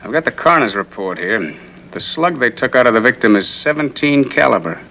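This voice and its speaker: An actor playing a serious, methodical district attorney, measured and matter-of-fact, in a 1960s TV crime drama. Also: male voice, mid-range voice, expressive, sharp tone, a little hiss or hum, rough recording. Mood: grave. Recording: vintage TV soundtrack, faint music.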